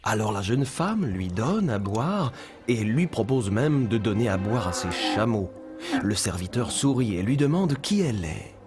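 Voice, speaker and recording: A man playing a kindly storytelling mentor in a children's cartoon dub, with measured, instructive delivery. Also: lowish voice. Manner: measured, expressive, instructive narration. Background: music behind the voice.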